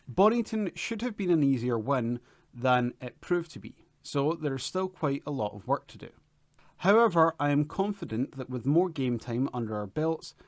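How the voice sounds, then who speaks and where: A man's voice: medium pitch, no room sound, clean studio sound. A man reading newspaper announcements and articles aloud from a script as a volunteer reader. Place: at home.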